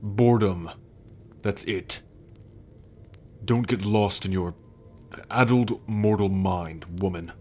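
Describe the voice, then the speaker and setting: A man's voice: low-pitched, slow, pauses often, warm tone. An actor playing an arrogant, brooding male sun god in an ASMR roleplay drama, with a scornful, formal, imperious manner. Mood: defensive, scornful. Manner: haughty, scornful, formal, sighing. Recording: background music under the voice.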